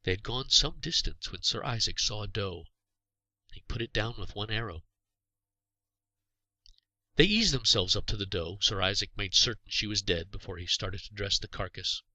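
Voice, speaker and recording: A man narrating a scripted storybook tale as its author, in a dramatic, expressive way. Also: fairly low pitch, normal speed. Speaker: author reading own story.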